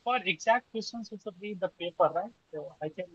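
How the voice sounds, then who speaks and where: A man from Lucknow with an Indian English accent, answering questions as a guest researcher with many pauses and restarts. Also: high voice, husky, dry recording. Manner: frequent "uh" pauses and restarts, reasoning out loud. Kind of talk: webinar answers, hesitant and filler-heavy. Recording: dull, dry video call audio.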